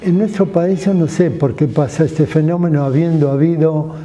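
An older man with an Argentine accent, a sculptor reflecting slowly in an interview, pausing often with hesitation fillers, recorded in his studio. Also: fairly high pitch, over music. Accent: Argentine Spanish.

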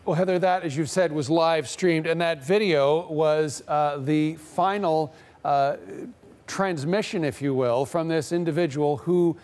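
A middle-aged man explaining news live in a studio, talking in halting phrases full of "uh" pauses. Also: a little high, a little mellow. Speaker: news correspondent.

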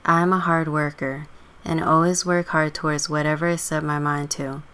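Woman as a low-voiced, sardonic teenage runaway girl. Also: flat delivery, moderate pace, a bit bright, clear, resonant tone. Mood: polite, eager. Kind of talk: scripted life-simulation game story.

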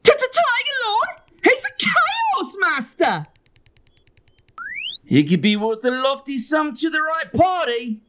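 Cartoon red panda pirate sidekick: high, swooping, theatrical, scheming, over a noisy track. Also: female voice, wildly swooping pitch, takes it slowly, long pauses, exaggerated voice. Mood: excited, scheming. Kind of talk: motion comic voice acting.

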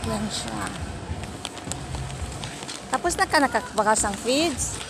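Filipino woman, casual walking vlog, slow and unhurried, on a phone mic, heavy street noise. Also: on the high side, expressive, creaky. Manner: chatty running commentary while walking. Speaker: vlogger. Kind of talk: casual errand vlog narration. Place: outdoors on a busy market street. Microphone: handheld phone. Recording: loud street traffic noise.

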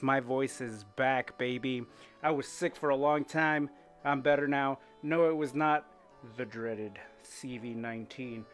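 A middle-aged man streaming a game while cycling at home, chatting upbeat and thinking out loud. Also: medium pitch. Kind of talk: casual gaming commentary while cycling. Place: home room with indoor bike.

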